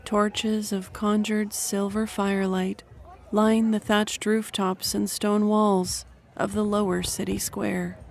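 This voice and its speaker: Narrator role: solemn guardian recalling her past, deliberate, level and creaky, audio drama. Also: medium pitch, little pitch movement. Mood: solemn, absorbed. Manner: deliberate, descriptive storytelling. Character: female guardian recounting her own memories, solemn. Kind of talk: scripted first-person dramatic narration.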